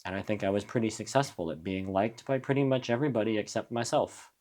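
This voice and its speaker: A young adult man from New Mexico, a musician guest in a casual interview, talking in a reflective, halting way with frequent restarts. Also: fairly low pitch, a little mellow. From USA (New Mexico).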